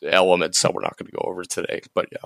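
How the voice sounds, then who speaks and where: A man's voice: low-pitched, flat delivery, very fast, creaky, a bit bright, dry recording, studio quality. A man co-hosting a casual Bible study podcast, explaining in meandering, stop-start sentences. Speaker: podcast co-host.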